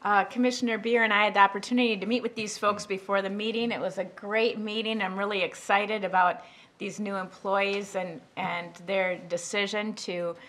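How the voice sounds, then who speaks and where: A woman's voice: medium pitch, fairly even intonation, moderate pace, a bit bright. A woman chairing a county board meeting, welcoming new employees and moving through the agenda. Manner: welcoming, procedural.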